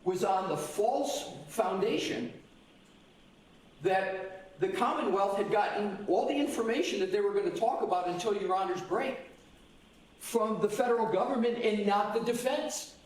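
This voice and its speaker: A man's voice: high-pitched, moderate pace. A male defense attorney arguing in court, recorded in an echoey courtroom from a distance. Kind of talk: courtroom argument.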